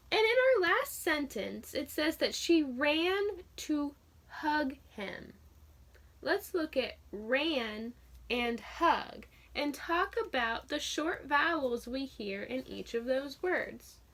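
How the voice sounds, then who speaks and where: Woman teacher, bright high voice, slow and clear, sounds out letters and quizzes young listeners. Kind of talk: children's read-aloud phonics lesson.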